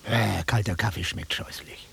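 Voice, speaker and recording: An actor playing a bored, blunt middle-aged travelling salesman with a raspy voice in a naturalistic radio drama. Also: lowish voice, steady tone, normal speed, pushed and bright, in a whisper, heavily acted. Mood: impatient, annoyed. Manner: offhand, dismissive, grumbling.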